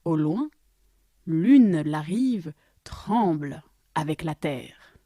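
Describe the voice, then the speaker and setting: A woman narrating a scripted story as narrator, with measured, deliberate phrasing. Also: deep voice, lively intonation, mellow, no echo, clean studio sound.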